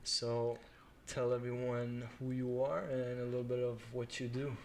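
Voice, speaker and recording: A man's voice: mid-range voice, flat delivery, a little slow, slight background noise. A young man hosting a podcast, talking in a friendly, earnest way. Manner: friendly, earnest, lots of affirming murmurs. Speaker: podcast host. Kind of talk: casual interview chat.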